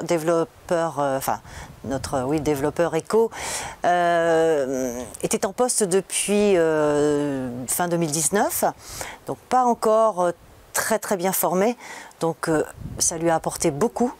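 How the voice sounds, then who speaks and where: A woman's voice: fairly low pitch, measured pace, a little mellow. A woman speaking as a local official in a testimonial interview, explaining things in an informal way.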